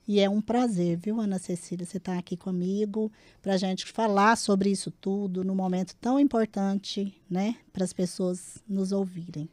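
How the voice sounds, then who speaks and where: A woman's voice: mid-range voice, steady tone, normal speed, few pauses, darkish tone, no room sound. A woman with a Brazilian accent hosting a podcast in a conversational interview style. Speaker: podcast host interviewing a guest.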